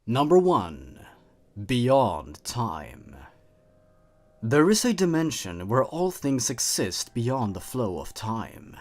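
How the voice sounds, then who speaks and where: A man narrating horror stories in a theatrical, slow and dramatic way, drawing out the ends of his sentences. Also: medium pitch, sing-song.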